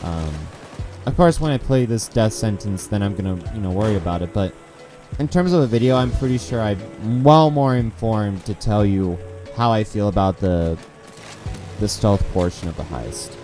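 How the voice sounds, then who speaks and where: A man's voice: on the low side, normal speed, warm tone, soft background music. A young man giving a casual, rambling game review, restarting sentences often and swearing, his throat dry so his voice cracks. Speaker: gaming video creator.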